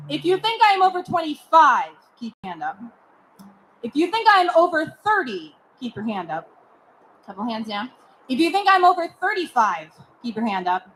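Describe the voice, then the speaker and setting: A woman in her thirties, a singer-songwriter chatting casually with a live audience between songs, quick and self-deprecating, with asides and fillers. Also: sits high, pushed and bright, a little hiss or hum, low-quality recording. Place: live performance area before an audience. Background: acoustic guitar.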